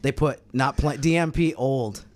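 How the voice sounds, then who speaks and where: Male sports podcast co-host from New York, mid-pitched, wry and conversational. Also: normal speed, crowd noise. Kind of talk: casual sports roundtable chat.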